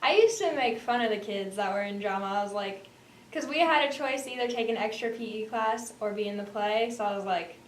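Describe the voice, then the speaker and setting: A teenage girl gives casual interview answers as a student actor. Her voice sits a little high. The sound is echoey and distant from the microphone, with faint noise behind it. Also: a little fast.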